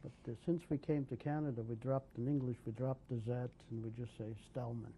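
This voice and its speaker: A man in his sixties, from Poland, recalling his early life in an oral history interview, speaking haltingly with many pauses and restarts. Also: mid-range voice, fairly even intonation, warm tone, slight background noise. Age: 60s. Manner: hesitant, many ums and restarts. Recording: dull lo-fi 1990s tape.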